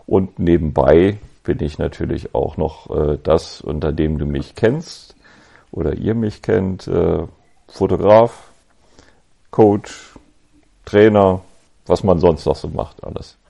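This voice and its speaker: A man in his fifties from Berlin with a Berlin accent, telling his story as a podcast guest in an easygoing, reflective way with frequent pauses. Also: low-pitched, creaky. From West Berlin, Germany. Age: 50s. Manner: relaxed, reflective, with many ums and pauses. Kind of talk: casual conversational storytelling.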